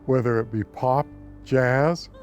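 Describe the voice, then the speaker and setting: A man in an interview, speaking in a measured, appreciative way about a singer's voice. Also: mid-range voice, fairly even intonation, a little mellow, faint music behind. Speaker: supporter of the singer.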